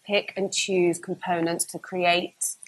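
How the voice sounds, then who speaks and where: A woman's voice: fairly low pitch, flat delivery, slow, reverberant, rough recording. A woman hosting and moderating a live panel discussion, introducing guests and giving brief upbeat affirmations. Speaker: host, moderator.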